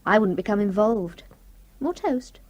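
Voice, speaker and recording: An actress playing a brisk, cheerful young English wife in a radio drama. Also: female voice, medium pitch, expressive. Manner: brisk, bright.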